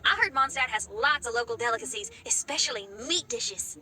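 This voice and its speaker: A woman's voice: fairly high pitch, animated, a little fast, sharp tone. A woman voicing a cheerful, curious young girl in an anime game.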